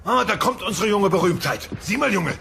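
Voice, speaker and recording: Boisterous, greedy cartoon dinosaur dad. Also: male voice, high voice, expressive, fairly quick, vocal fry, bright, forceful tone, soft background music, exaggerated voice. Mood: gleeful, greedy. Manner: gleeful, blustering, exclamatory. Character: greedy, boisterous dinosaur father gloating over money. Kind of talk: dubbed comedy radio play acting.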